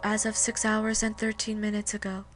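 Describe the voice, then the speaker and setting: A woman playing an emotionless, taciturn teenage girl in an audio drama, speaking with flat, precise, deadpan delivery. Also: medium pitch, moderate pace, natural voice. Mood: impassive. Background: music under the voice.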